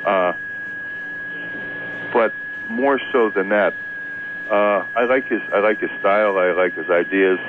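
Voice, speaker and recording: A man calling in to talk radio, chatting about politics in a halting, repetitive and emphatic way. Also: fairly low pitch, steady tone. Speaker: radio call-in guest. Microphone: telephone. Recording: tinny phone line, noisy hum, radio aircheck.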